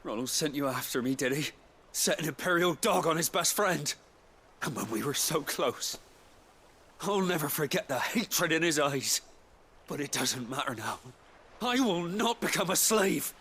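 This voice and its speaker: A man playing a bitter, pleading runaway in a video game drama, his husky voice strained. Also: a little high, pushed and bright, heavily acted. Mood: desperate, pleading.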